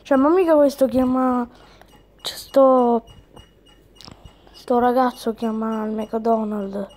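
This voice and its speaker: A woman's voice: a little high, steady tone, takes it slowly, a little mellow. A woman chatting casually through a game as a video host, reading on-screen text aloud as she comments.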